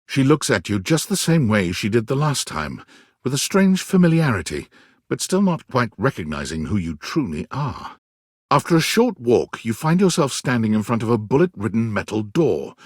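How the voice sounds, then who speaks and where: A man's voice: medium pitch, slightly rough, dry recording, clean studio sound. A man playing a narrator who tells a sci-fi video game adventure in dramatic, scripted storytelling.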